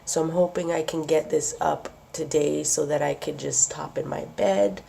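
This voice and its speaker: A woman's voice: deep voice, steady tone, normal speed, vocal fry, warm tone, a little hiss or hum. A young woman who is losing her voice and sounds sick and sniffly, chatting casually and laughing often as she shows off her craft work. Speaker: craft vlogger. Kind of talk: casual show-and-tell.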